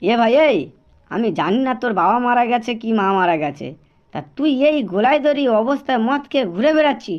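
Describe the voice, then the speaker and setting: A man playing a grumbling, exasperated village milkman husband in a comedy cartoon, repeating phrases excitedly. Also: very high-pitched, brisk, lo-fi sound. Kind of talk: Bengali comedy cartoon dialogue.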